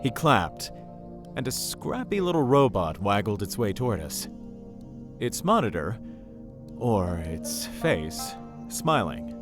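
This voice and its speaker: A man's voice: on the low side, big pitch swings, slow, music in the background. A man reading scripted story narration for a visual novel game.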